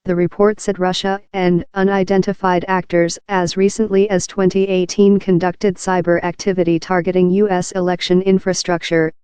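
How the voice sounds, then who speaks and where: A woman narrates a news report from a script. Her voice is on the low side and slightly mellow, with a formal, measured delivery. The sound is dry and close. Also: level tone, clean tone, no room sound. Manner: formal, measured, impersonal reading. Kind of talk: scripted news narration, reading an article aloud.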